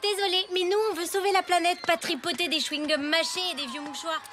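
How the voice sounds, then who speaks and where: Very high, snooty schoolgirl. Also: steady tone, quick pace. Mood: disdainful. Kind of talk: animated comedy cartoon acting.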